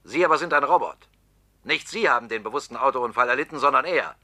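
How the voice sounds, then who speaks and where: An actor playing a scheming political opponent in a radio drama, talking bluntly and sarcastically. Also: male voice, medium pitch, animated, quick pace, bright, forceful tone. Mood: pressing. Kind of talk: 1960s-70s radio drama acting.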